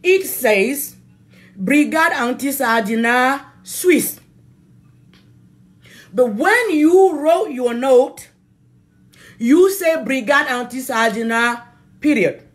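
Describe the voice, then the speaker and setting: A woman from Cameroon, a native French speaker with a Cameroonian accent, giving an impassioned political address in an emphatic, deliberate way, repeating phrases for stress. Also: high-pitched, expressive. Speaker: political activist addressing viewers.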